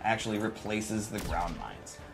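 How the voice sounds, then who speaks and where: A man's voice: lowish voice, expressive, unhurried, gravelly, faint music behind. A man giving casual, enthusiastic gameplay commentary as a developer showing off a video game, with frequent exclamations.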